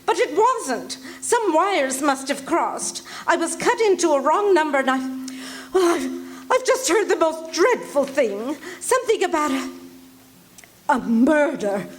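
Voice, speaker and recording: A woman acting a high-strung, imperious wealthy lady in a live radio play, urgent and breathless. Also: big pitch swings, measured pace. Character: anxious demanding wealthy woman, invalid. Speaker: radio drama actor. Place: RV resort hall before a live audience.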